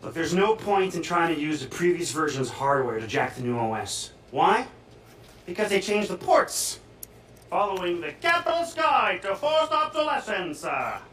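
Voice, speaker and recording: An actor playing a manic, foul-mouthed young punk hacker in a video game, theatrical and wildly excitable. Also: male voice, sits high, normal speed, reverberant, far from the mic, slight background noise. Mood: scheming, hyped. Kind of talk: video game cutscene acting.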